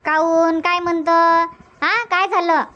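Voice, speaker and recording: Shrill, grumpy old grandmother. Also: steady tone, slow pace, pushed and bright, lo-fi sound, heavily acted. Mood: irritated. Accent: Varhadi Marathi. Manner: snappish, scolding, firing questions. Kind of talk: cartoon comedy dubbing.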